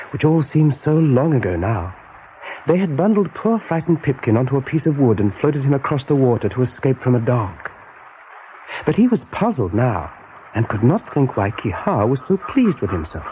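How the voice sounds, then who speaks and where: A man narrating an animal adventure as a calm storyteller in a radio play. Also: medium pitch, normal speed, slight background noise, close to an everyday voice, poor audio. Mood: measured.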